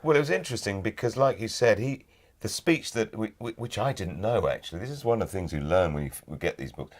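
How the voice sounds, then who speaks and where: A British man talking as an interview guest, with measured, thoughtful and emphatic phrasing. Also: fairly low pitch, animated. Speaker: guest, actor and audiobook narrator. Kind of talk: casual interview chat.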